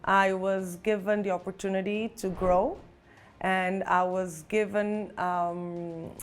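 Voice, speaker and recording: A woman's voice: mid-range voice, level tone, takes it slowly. A woman in her thirties from Portugal, speaking English with a Portuguese accent as a nurse recounting her experience in a reflective interview, with frequent restarts. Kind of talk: personal testimony interview. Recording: faint background music.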